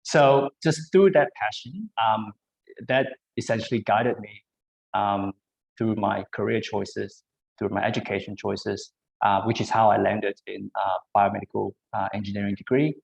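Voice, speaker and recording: A man in his thirties from Malaysia, giving an online career talk over a video call and pausing often with ums and uhs. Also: lowish voice, fairly even intonation, creaky, low-quality recording. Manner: hesitant, many ums and uhs. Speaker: biomedical engineer, panel speaker.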